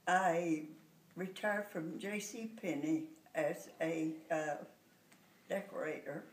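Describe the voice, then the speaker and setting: A woman in her eighties speaks slowly and haltingly, searching for words. She sounds far from the mic in an echoing room. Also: fairly low pitch. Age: 80s. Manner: hesitant, many "uh" fillers. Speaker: interviewee. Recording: roomy echo, distant mic.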